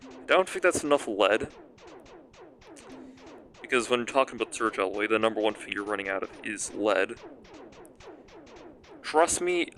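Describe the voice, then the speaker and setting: A young man with a raspy voice rambles through his game plans, poking fun at himself as he works things out. His pitch sits in an ordinary middle range. Faint music plays softly underneath. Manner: rambling, self-mocking, thinks aloud. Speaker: gaming commentator. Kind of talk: casual gameplay commentary.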